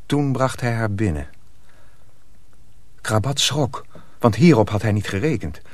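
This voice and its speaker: A man's voice: on the low side, measured pace, a little mellow. A man narrating a radio drama as a storyteller, reading a dramatic script.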